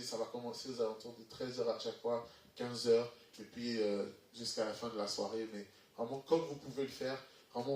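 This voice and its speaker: An energetic man, addressing a church congregation as a guest ministry speaker. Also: mid-range voice, slight background noise. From Belgium. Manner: energetic, upbeat. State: has a cold, sniffly. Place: church hall. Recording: echoey hall from far off.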